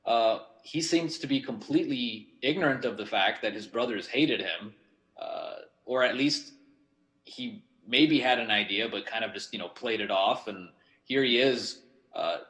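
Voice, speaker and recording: A man's voice: mid-range voice, a little slow, bright, forceful tone. A man in his thirties, a guest pastor whose first language is Romanian, speaking thoughtfully over a video call from a bedroom at home. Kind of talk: conversational Bible discussion. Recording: roomy video call audio.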